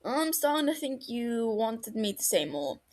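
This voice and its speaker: A woman's voice: on the high side, sing-song, a little slow, a little mellow. Brief: a woman reading a crude, loyal young bloke in a storybook reading, laid-back and blunt, swears offhandedly. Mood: puzzled. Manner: laid-back, swears casually, calls friend mate.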